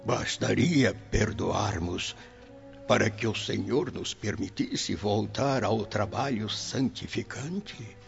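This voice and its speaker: Elderly spiritual father, radio drama, solemn, compassionate, heavy rasp, dull recording over music. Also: lowish voice, heavily acted. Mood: gentle, solemn. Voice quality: gravelly. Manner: slow, solemn preaching delivery.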